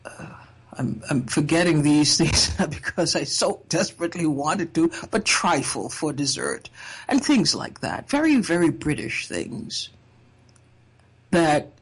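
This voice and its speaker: Slow, husky woman from Trinidad. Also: on the low side, poor audio. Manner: slow, measured and thoughtful, with wry humor. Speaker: speaker sharing a personal essay. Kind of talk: reflective personal talk.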